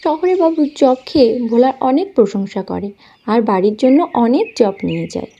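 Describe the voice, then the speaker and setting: A woman's voice: fairly high pitch, expressive, moderate pace, warm tone. A woman reading scripted storybook narration for a children's cartoon. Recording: slightly dull audio, music underneath.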